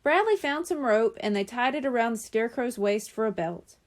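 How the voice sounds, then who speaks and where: A woman reading a children's book aloud in expressive scripted narration. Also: fairly high pitch, moderate pace. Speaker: audiobook reader.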